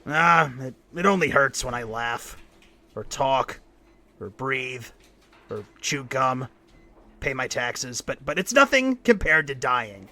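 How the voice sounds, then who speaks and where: Man as a loyal worried mechanic pal, gravelly, forceful, earnest, halting, audio drama. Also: medium pitch, sing-song, full character voice. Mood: wry. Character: worried, loyal male mechanic friend, irreligious and wry.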